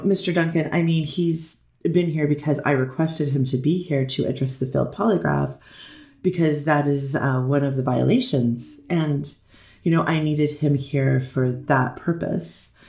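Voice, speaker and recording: A performer playing a hesitant, deferential defense lawyer who pleads to a judge in halting, repetitive phrases, in a courtroom readers theater piece. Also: mid-range voice, a little mellow, close to an everyday voice. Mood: flustered, deferential. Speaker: defense attorney. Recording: roomy, echoing voice recording.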